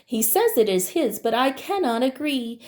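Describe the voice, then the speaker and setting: A woman's voice: fairly high pitch, big pitch swings, normal speed, a little mellow. A woman voicing a small, indignant, entitled rabbit in a children's storybook, pert and protesting.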